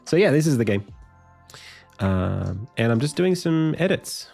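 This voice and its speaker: A man streaming his game development, thinking aloud in a casual way with lots of fillers and restarts. His voice is deep and croaky, and he sounds tired. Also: sing-song, unhurried, a little mellow, soft background music. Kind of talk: casual live stream talk.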